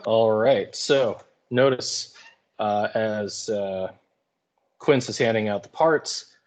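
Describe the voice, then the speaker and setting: A man's voice: on the low side, slow. A man teaching English, giving informal, colloquial explanatory commentary in a casual lecture style. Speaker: high school English teacher.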